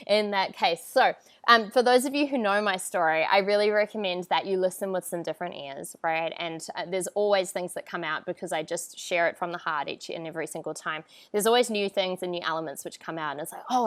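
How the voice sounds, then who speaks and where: A woman's voice: on the low side, dry recording. A woman in her thirties with a New Zealand accent, giving a chatty, upbeat live-streamed motivational talk through an earphone mic. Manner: upbeat, chatty, often laughs and asks viewers for a yes. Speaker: online coach hosting a live training. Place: outdoor terrace with palm trees. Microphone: wired earphone mic on a video call.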